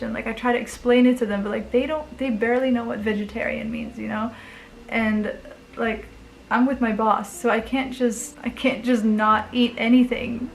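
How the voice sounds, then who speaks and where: A woman's voice: fairly high pitch, a little hiss or hum, rough recording. Earnest young Belgian woman vlogging. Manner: earnest, rambling with many likes and restarts, nervous laughs. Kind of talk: casual talk to camera. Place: home in Osaka, talking to a camera.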